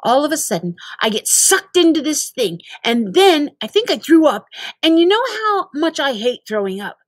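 A woman acts out an exasperated young storybook kid in a panic. The voice is pushed high, indignant and full of complaint. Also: big pitch swings, moderate pace, dry recording. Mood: panicked, indignant.

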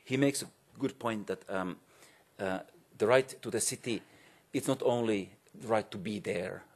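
Halting, husky middle-aged male lecturer. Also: fairly low pitch. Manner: halting and hesitant, frequent repetitions and pauses. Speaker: keynote speaker. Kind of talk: academic conference lecture. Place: indoor conference auditorium, on stage.

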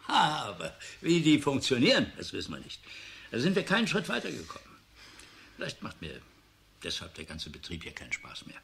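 A disillusioned professor in a radio play. His mid-pitched voice swings widely as he muses, sardonic and weary, halting and then emphatic. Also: huge pitch swings, gravelly, fairly bright tone, slight background noise, exaggerated voice. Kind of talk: German radio drama acting.

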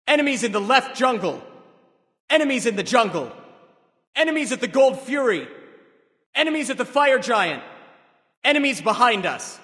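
A proud warrior sage god from a video game, with a high, bright and forceful male voice. He delivers short, commanding callouts at an unhurried pace, crisply and theatrically. A long reverb trails behind his words. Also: heavily acted.

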